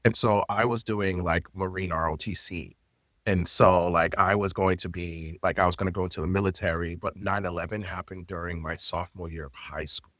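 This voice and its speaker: Male guest, late 30s, native Haitian Creole speaker. Very low pitch, dry sound, expressive and conversational. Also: brisk, no echo. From Florida, United States. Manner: expressive, personal, loose phrasing with frequent fillers. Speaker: guest, intimacy coordinator and teacher. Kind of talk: conversational interview storytelling.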